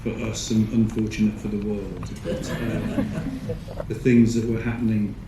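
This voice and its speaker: Measured low-voiced man on stage. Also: level tone. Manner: thoughtful, measured explanations. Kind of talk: panel Q&A answers. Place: large screening hall. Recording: echoey hall with audience noise.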